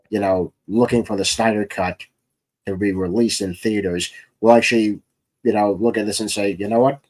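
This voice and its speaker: A man with a fairly low voice hosts a relaxed, conversational interview show. He speaks informally, like a writer chatting with a guest. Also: low-quality recording. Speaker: podcast host and comics writer. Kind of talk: casual interview chat.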